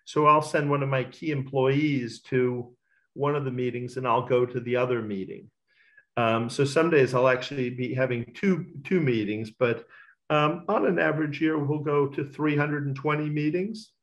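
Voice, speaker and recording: A man's voice: medium pitch, steady tone, moderate pace, fairly bright tone, dull audio. Middle-aged man, talkative and self-assured. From Australia. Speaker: racecourse bookmaker. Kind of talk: casual interview answers.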